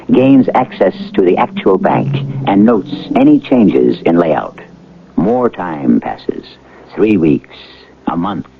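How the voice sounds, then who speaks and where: Male narrator: portentous, low and creaky, expressive inflection, music behind, muffled vintage broadcast. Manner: measured, portentous. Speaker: host and narrator. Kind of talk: dramatic scripted radio narration. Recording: muffled old radio broadcast.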